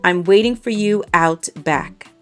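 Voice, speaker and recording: A woman's voice: on the low side, unhurried, faint music behind, close to an everyday voice. A woman voicing a humble, polite male country mouse in a children's storybook, courteous and earnest. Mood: welcoming.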